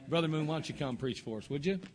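Earnest pastor introducing a guest. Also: male voice, fairly high pitch, lively intonation, fast talker. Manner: earnest, warm praise with fillers. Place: church.

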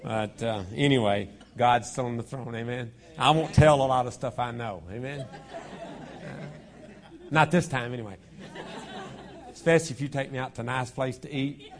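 Guest preacher, man, a little hoarse, folksy and joking, lapel mic in a church. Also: medium pitch, moderate pace, pauses often, a faint crowd. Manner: folksy, self-deprecating, chuckling asides. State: hoarse from a cold. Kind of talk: joking sermon opening. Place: church auditorium.

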